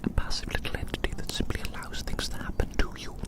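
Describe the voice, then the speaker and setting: ASMR roleplay performer, soft unvoiced whisper, theatrical wordy phrasing, repeated phrases, rambling monologue, recorded outdoors. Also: quick pace. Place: outdoors in a large open field.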